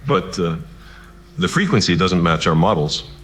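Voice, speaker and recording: A man playing an earnest, cautious theoretical physicist in an audio drama, speaking in a measured, serious and precise way. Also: low-pitched, expressive, a bit bright, breathy edge, full character voice. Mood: uneasy. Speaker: scientist.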